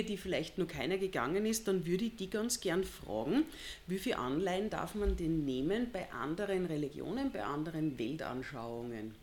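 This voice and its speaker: A woman's voice: deep voice, slight background noise. A woman, asking questions as the interviewer in a conversational style.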